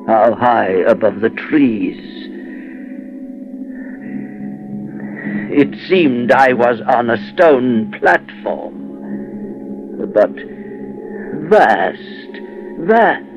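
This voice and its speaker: An actor playing a tormented, lonely outcast in a gothic radio drama, speaking in a halting, theatrical way that swings between hushed awe and ecstatic cries. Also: male voice, medium pitch, big pitch swings, full character voice. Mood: hushed wonder. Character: tormented lonely male outcast escaping into the world, awestruck and feverish. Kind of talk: dramatic old-time radio monologue, gothic horror reading. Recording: dull lo-fi vintage radio, music behind. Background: dramatic music.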